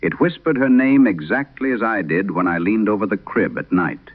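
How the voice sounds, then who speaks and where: A man performing as a late-night radio host, solemnly reading an eerie letter in measured, deliberate phrases through an old radio filter, in a vintage horror drama style. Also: fairly low pitch, animated. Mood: grave, haunted. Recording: tinny band-limited old radio sound.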